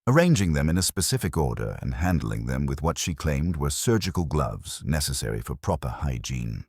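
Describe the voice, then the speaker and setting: A man's voice: very deep voice, very animated, normal speed, a little mellow, breathy edge, no room sound, studio quality, exaggerated voice. A man voicing a fussy, precise woman who gives evidence in formal, prim wording. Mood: prim, confiding. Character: fussy, precise civic-circle woman confessing to a police inspector.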